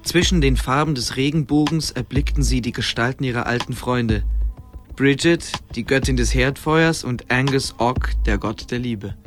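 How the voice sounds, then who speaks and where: A male narrator tells a dramatic tale in a medium-pitched voice. It is scripted radio storytelling, with faint music running underneath. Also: moderate pace.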